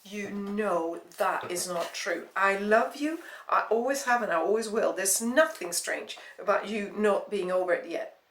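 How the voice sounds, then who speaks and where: A woman's voice: medium pitch, fairly quick, natural voice. A woman voicing an earnest, apologetic young ex-boyfriend who pleads, in a storybook reading. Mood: pleading. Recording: roomy, echoing voice recording.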